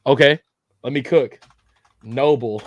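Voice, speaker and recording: A man's voice: mid-range voice, takes it slowly, lo-fi sound. A male podcast co-host in casual joking banter, full of playful, profane trash talk.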